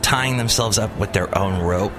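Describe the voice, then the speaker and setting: A man's voice: lowish voice, moderate pace, music in the background. A man hosting a podcast, chatting casually and joking playfully with his co-host. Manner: playful, jokey, lively. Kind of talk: casual chat.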